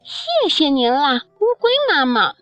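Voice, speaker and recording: Storybook tadpole youngster played by a woman: childlike, high, polite and eager, with lively swoops. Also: a little slow, faint music behind, full character voice. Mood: grateful. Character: little tadpoles, eager polite children seeking their mother.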